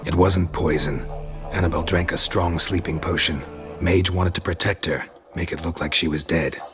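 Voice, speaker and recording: Man voicing stoic middle-aged monster hunter, extremely deep, gruff, terse, deadpan, video game drama, prominent music behind. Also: moderate pace, natural voice. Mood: grim, matter-of-fact. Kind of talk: video game dialogue acting.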